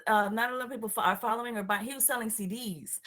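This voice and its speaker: A woman's voice: a little high, fairly even intonation, quick pace, fairly bright tone. A woman with a Puerto Rican accent hosting a casual interview over a call, chatty and enthusiastic, trailing off with fillers. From Puerto Rico. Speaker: show host.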